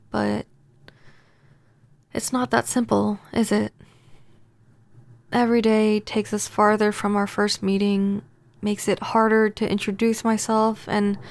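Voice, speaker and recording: A woman playing a pining, possessive vampire neighbor in a soft-spoken, intimate ASMR roleplay, gentle and halting. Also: medium pitch, gravelly, no room sound.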